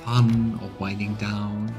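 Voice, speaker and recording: A man's voice: on the low side, level tone, slow pace, a little mellow, soft background music. A man in his thirties playing a game on stream, commenting and reading its dialogue aloud.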